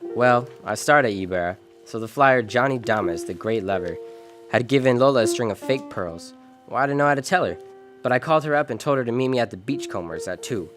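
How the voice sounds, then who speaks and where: An actor playing a world-weary, decent American private detective in an old-time radio drama, wry and clipped. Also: male voice, mid-range voice, expressive, fairly quick, music in the background, close to an everyday voice. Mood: wry narration. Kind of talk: 1940s detective radio drama, first-person narration and dialogue.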